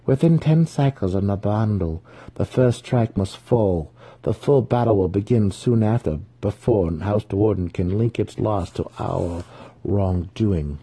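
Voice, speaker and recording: A man voicing a scheming, commanding female dark elf house ruler in a fantasy story. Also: lowish voice, measured pace, breathy edge. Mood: urgent, commanding. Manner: commanding, snarling.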